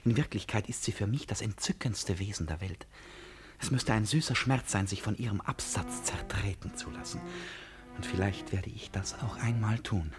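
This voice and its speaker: A man's voice: lowish voice, moderate pace, soft background music, natural voice. An actor in a German radio drama playing an erratic, boastful, needling man who swings between confiding and agitation. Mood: insinuating.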